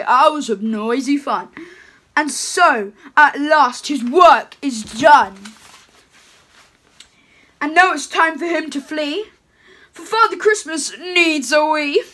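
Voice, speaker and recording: A young woman playing a jolly, chatty Father Christmas reading a storybook aloud at home, her voice pushed lower in a playful put-on. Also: fairly bright tone, exaggerated voice. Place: home room with curtains.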